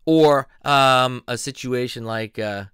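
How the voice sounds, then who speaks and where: A middle-aged man from southeastern Pennsylvania giving a playful, animated casual video lesson, laughing often. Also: medium pitch, slow, clear, resonant tone, no room sound, studio quality. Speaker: YouTube language teacher. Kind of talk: casual vlog-style lesson.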